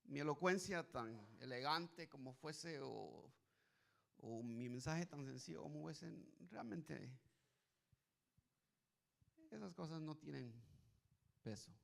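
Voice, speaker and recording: A middle-aged pastor from Guatemala preaching as a guest, hesitant with restarts at first, then building to emphatic preaching. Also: male voice, fairly high pitch, expressive, slow pace, gravelly, pauses often, a bit bright. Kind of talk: sermon.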